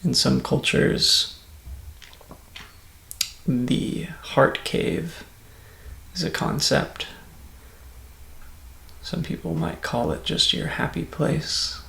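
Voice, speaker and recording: A man softly and calmly guiding a meditation in an ASMR style, in measured phrases that sometimes drop to near-whisper. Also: a little high, echoey room, a little hiss or hum, low-quality recording. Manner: soft-spoken, breathy near-whisper at times, calm and soothing. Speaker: meditation guide.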